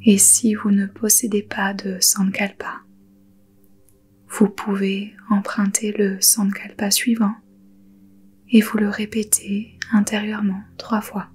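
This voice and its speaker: A woman guiding a yoga nidra meditation in a soft, breathy half-whisper, calm and soothing, with unhurried pauses. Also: medium pitch, a little hiss or hum. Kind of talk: guided meditation.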